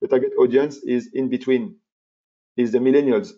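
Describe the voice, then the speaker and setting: A middle-aged man presents a business webinar over slides. His voice is mellow and a little dull, as through muffled webinar audio. He repeats key words for emphasis and often restarts his sentences. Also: mid-range voice, steady tone, moderate pace. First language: French. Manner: repetitive, emphatic, with frequent fillers and restarts. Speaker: webinar presenter, marketing consultant.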